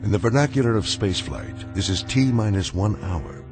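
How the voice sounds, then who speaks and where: Male actor doing grave storyteller for eerie radio play, low voice, measured and portentous, soft music under. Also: expressive, close to an everyday voice. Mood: grave, ominous. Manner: deliberate, portentous. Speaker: narrator. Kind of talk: dramatic scripted radio narration.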